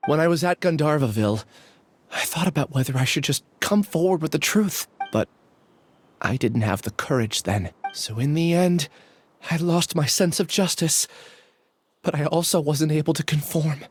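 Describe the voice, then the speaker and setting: An actor playing a gentle, remorseful young scholar in a video game, earnest and reflective. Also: male voice, a little high, normal speed, a little hiss or hum, close to an everyday voice. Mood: regretful, earnest.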